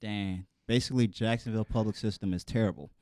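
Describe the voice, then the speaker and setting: A man's voice: on the low side, fairly even intonation, fast talker, mellow, dry recording. A young man chatting casually on a podcast in an informal, colloquial way full of slang. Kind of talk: casual chat.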